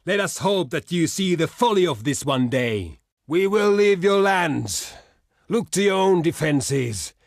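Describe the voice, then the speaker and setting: An actor voicing a proud, gruff barbarian warrior envoy in a video game, blunt and boastful, declaiming in archaic, formal phrases. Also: male voice, on the high side, lively intonation, measured pace, dry recording, clean studio sound. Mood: grudging, dismissive. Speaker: diplomat character in a strategy game. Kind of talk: theatrical video game voice lines.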